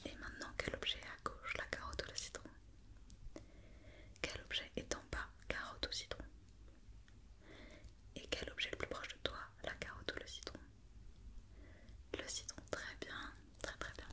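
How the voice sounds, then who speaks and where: Female ASMR performer as a doctor: bright, sharp whisper, patient and gentle, repeats instructions softly. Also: moderate pace, pauses often. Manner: patient, gentle repetition of questions and reassurance. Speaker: ASMR roleplayer playing a doctor. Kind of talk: whispered ASMR medical check-up roleplay.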